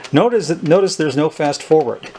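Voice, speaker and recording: A man giving an unscripted, halting demonstration of equipment, thinking aloud as he handles it. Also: on the high side, animated, darkish tone, a little hiss or hum. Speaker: host demonstrating a vintage tape recorder. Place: indoors at a tabletop.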